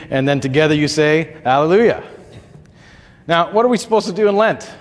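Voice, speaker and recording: Hoarse-throated pastor preaching in a reverberant hall. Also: male voice, on the high side. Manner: conversational, folksy storytelling with filler words. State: recovering throat, slightly hoarse. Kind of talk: sermon.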